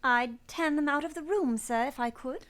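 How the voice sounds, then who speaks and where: A woman's voice: high voice, moderate pace, a bit bright. A woman playing an earnest young governess in a radio play, both narrating and speaking with polite deference. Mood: devoted.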